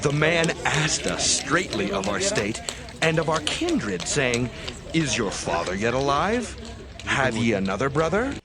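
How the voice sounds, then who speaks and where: Casting: defensive brother, scripture drama; formal, highish, lively intonation, loud crowd behind. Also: male voice, measured pace. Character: defensive adult brother explaining himself to his father. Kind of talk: dramatized Bible audio acting.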